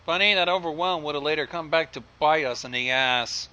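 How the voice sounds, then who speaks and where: An excitable commentator of unclear sex, reacting to a story with dramatic, drawn-out exclamations and jokes. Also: mid-range voice, muffled recording.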